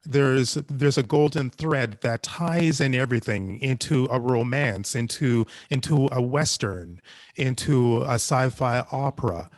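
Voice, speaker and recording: Halting man on a panel, dry sound. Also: mid-range voice, no echo. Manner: halting, repeats phrases. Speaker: panelist, anthology podcast creator. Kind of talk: casual panel discussion.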